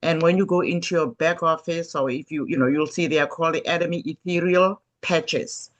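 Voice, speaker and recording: A woman's voice: low voice, fairly even intonation, a little fast. A woman hosting a casual group video call who talks in an enthusiastic, effusive way and laughs often.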